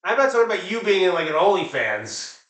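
High, bright-voiced man bantering in echoey room. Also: very animated, quick pace. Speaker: comedy show co-host. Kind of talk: casual joking banter among friends. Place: living room, indoors. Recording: roomy living room echo.

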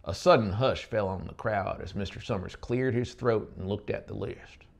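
A man's voice: on the low side, normal speed, gravelly. A man in his thirties narrating an audiobook story in a dramatic style. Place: small dark indoor studio. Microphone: lapel microphone. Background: music.

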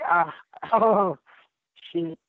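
Hoarse woman caller on a tinny phone line. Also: on the low side, extremely slow, no room sound. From Texas. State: nearly lost voice. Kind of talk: phone call-in chat.

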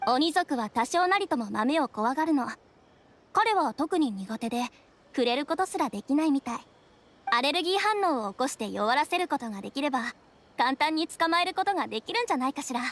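High-voiced, composed young detective. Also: female voice, lively intonation, brisk. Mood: knowing, helpful. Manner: matter-of-fact, self-assured. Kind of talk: Japanese anime game voice acting. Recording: game music behind.

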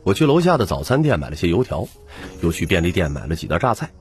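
A man's voice: low-pitched, very animated, fast talker, faint music behind. A man narrating a novel in the first person as a scripted audiobook.

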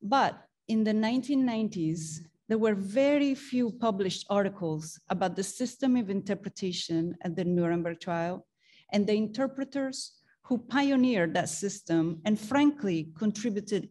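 A woman's voice: medium pitch, moderate pace, dark tone. Woman giving an academic talk. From Italy. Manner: articulate, earnest, with occasional ums. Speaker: guest speaker and author. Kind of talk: academic presentation. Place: university lecture hall.

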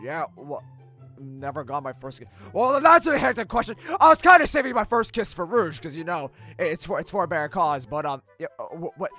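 A man's voice: on the high side, sing-song, a little fast, soft background music, heavily acted. A man voicing an edgy, brooding cartoon hedgehog who is easily panicked and exasperated, with a strained, melodramatic, stammering delivery. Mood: irritated, flustered. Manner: melodramatic, repetitive yelling and stammering. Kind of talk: cartoon comic dub acting.

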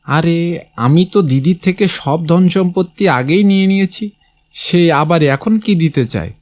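A man's voice: a little high, a little slow, darkish tone, natural voice. Brusque, greedy village uncle acted by a man. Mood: greedy, curious. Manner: brusque, demanding. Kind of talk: Bengali cartoon moral tale dialogue.

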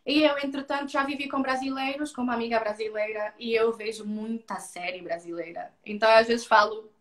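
A woman's voice: a little high, steady tone, fairly quick, a bit bright. A young Angolan woman with a slightly Brazilian-tinged Portuguese accent, speaking frankly and repeating words for emphasis as a guest in a live video-call interview. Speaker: guest, former beauty queen. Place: indoors, seated before a stone wall. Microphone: video call from a laptop. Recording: roomy video call audio.

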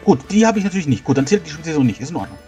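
A man's voice: a little high, big pitch swings, very fast, mellow. A man talking casually as a player in a tabletop role-playing game discussion. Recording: music under the voice. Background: background music.

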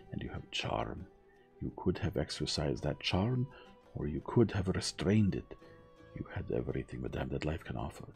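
Male narrator doing a courteous, relentless Belgian detective, dark low tone. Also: gravelly, exaggerated voice. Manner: measured, courteous, relentlessly probing. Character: shrewd elderly male Belgian detective, polite but probing.

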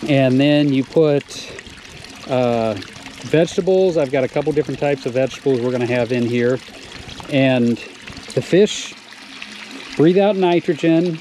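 A man on his farm casually walking through his fish-and-plant tank setup, explaining step by step with frequent pauses and "uh"s, recorded outdoors on a wearable camera. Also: mid-range voice, mellow. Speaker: farmer showing his aquaponics setup. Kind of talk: casual walk-through narration. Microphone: wearable action camera. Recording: noisy outdoor camera audio. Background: outdoor ambience with running water.

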